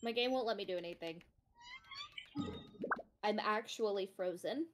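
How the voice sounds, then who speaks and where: A woman's voice: mid-range voice, moderate pace. A young woman streaming a game, chatting casually and bluntly with swearing and exasperation. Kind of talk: casual chat while gaming, sweary.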